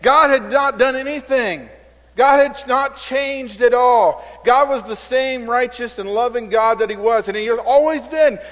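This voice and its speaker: A male pastor preaching a conversational sermon in a church, repeating words as he builds emphasis. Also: measured pace. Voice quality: says he has a squeaky voice. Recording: reverberant hall sound.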